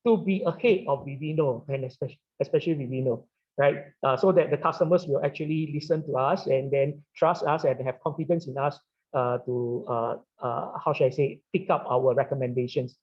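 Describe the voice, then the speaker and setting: A middle-aged man with a Singaporean English accent, a wine retailer speaking on a webinar panel, halting with many "uh" fillers and "right?" tags. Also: a little high. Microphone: video call. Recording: dull lo-fi online call audio.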